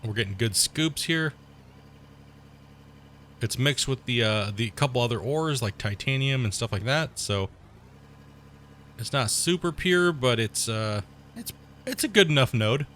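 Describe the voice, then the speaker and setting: A man's voice: mid-range voice, measured pace, long pauses, a little hiss or hum. A man who is sick and losing his voice, giving casual, rambling commentary over his own gameplay and explaining terms as he plays. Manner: rambling, explains terms, excited outbursts at mishaps. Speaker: gaming channel host.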